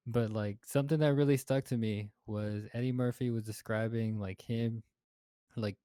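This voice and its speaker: A man's voice: lowish voice, steady tone, moderate pace, mellow, smooth, dry recording, studio quality. A man in his twenties hosting a casual podcast chat, rambling with lots of fillers and swearing. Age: 20s. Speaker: podcast host.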